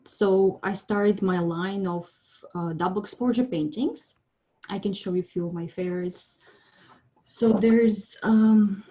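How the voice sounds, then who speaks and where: A woman from Siberia, giving a friendly, chatty artist's talk on a video call, pausing with ums. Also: medium pitch, mellow, muffled recording. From Siberia, Russia. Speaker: presenting artist. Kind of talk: informal online presentation.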